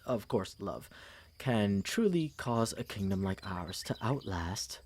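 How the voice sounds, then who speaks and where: Smug young royal suitor voiced by a man, fantasy ASMR drama, patronizing and theatrical, very animated intonation. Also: on the low side, takes it slowly. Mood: condescending. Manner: smooth, pompous, theatrically expressive. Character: smug, condescending young prince courting a princess. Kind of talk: ASMR fantasy audio roleplay.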